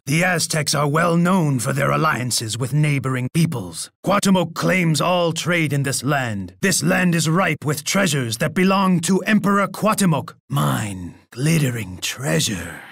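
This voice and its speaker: A gravelly, husky Aztec emperor from a strategy video game. He is boastful and bloodthirsty, speaking with theatrical, imperious scorn. His voice sits a bit high for a man and is recorded close and dry. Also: expressive, measured pace, hardly any pauses, a bit bright, no room sound, exaggerated voice. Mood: scornful gloating. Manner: imperious, taunting, refers to himself in third person.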